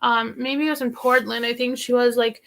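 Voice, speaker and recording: Woman host, slightly high and gravelly, rambling casual chat, filler-heavy. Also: fairly even intonation, normal speed, fairly bright tone. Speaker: host of a vintage thrifting channel.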